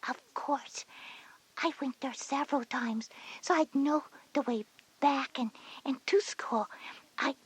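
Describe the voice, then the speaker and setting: Breathy, whispery little girl. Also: high voice, slow pace, low-quality recording. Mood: puzzled, opinionated. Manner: inquisitive, childlike. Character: strange, breathy little kindergarten girl, curious younger sister. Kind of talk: scripted children's educational audio drama.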